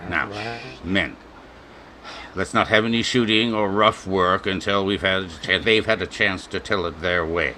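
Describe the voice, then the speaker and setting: A man's voice: low-pitched, normal speed, pushed and bright, slight background noise. A man playing a stern major who leads a western posse in a radio drama, giving clipped, authoritative orders. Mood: commanding, measured. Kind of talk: old-time radio western acting.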